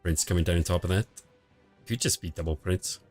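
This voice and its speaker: A man streaming casual commentary on a mobile game match, quiet and relaxed, answering chat between calls of the play. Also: a little fast. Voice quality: quiet, low-level voice. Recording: home stream audio with faint music behind. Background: faint background music.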